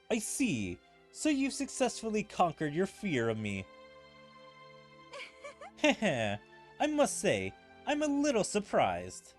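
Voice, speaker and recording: A man's voice: high voice, huge pitch swings, takes it slowly, pauses often, soft background music, pitched above the performer's own voice, exaggerated voice. A man reading game story dialogue as a smug, formidable dungeon opponent, haughty and formal. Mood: amused, smug.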